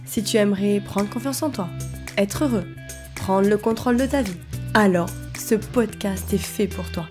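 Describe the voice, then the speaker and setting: A woman opens her podcast as host and confidence coach, upbeat and speaking straight to the listener. Guitar music plays clearly under her voice. Her pitch sits in the middle. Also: normal speed. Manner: upbeat, addresses the listener directly. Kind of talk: scripted podcast intro, then interview. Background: guitar music under the intro.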